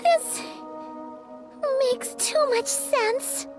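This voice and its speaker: A woman voicing a small, excitable childlike fairy companion in an anime-style video game, with a squeaky, chirpy delivery. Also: drawn-out words, music in the background, full character voice. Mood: alarmed. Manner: chirpy, exclaiming, refers to self in third person.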